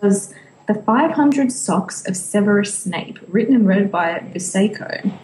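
A woman's voice: mid-range voice, lively intonation, a little slow, a little mellow. A woman co-hosting a podcast in casual fan discussion. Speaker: podcast co-host. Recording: roomy echo, faint background noise.

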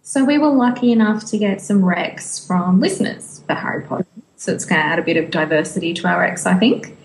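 A woman's voice: on the low side, expressive, a little fast. A woman co-hosting a podcast in casual fan discussion. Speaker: podcast co-host. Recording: roomy echo, faint background noise.

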